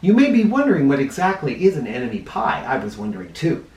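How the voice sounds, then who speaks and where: Animated man reading a storybook, roomy sound. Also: medium pitch, quick pace. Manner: expressive, dramatic emphasis. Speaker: storybook reader for kids. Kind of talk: animated picture book read-aloud. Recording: roomy echo, distant mic.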